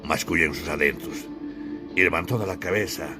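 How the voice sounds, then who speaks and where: A man's voice: extremely low pitch, moderate pace, music in the background. A man from Bolivia narrating a literary audiobook in a solemn, theatrical storytelling manner. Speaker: author and narrator.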